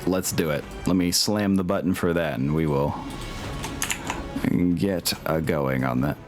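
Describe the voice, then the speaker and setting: A man with a low voice runs a tabletop game as its game master. He talks informally, narrating and thinking aloud through the rules, with music clearly playing behind him. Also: fairly even intonation, unhurried.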